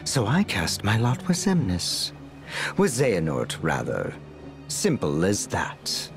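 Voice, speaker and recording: Brief: a creepy mad scientist, low, gravelly, sly and slow. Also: male voice, wildly swooping pitch, music in the background, heavily acted. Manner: sly, drawn-out delivery. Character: creepy older male scientist villain, obsessive.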